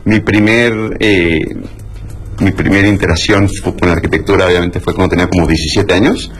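A middle-aged Mexican man is a guest on a radio interview. His voice is very deep, and he halts and restarts his sentences as he looks for words. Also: steady tone, breathy edge. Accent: Mexican Spanish. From Mexico City. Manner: hesitant, halting with many restarts.

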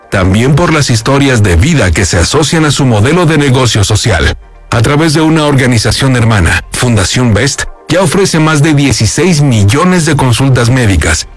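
A man with a Mexican accent reading scripted narration for a promotional video. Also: medium pitch. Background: background music.

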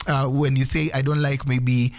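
A man's voice: medium pitch, flat delivery, normal speed. A man co-hosting a casual talk show, opinionated, mixing Swahili phrases into English. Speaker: radio co-host.

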